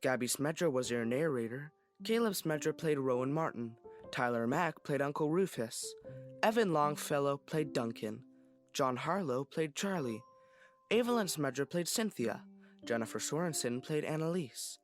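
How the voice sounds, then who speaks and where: A man's voice: on the high side, lively intonation, moderate pace. A man reading scripted closing credits for an audio drama as one of its cast. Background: music.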